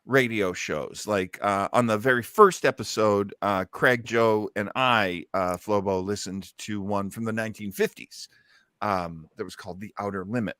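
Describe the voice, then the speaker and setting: Male show host, bright and keen, chatting casually and enthusiastically, recorded dry. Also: fairly low pitch, normal speed, no room sound. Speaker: host of an old-time radio listening show. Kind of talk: casual hosting and discussion.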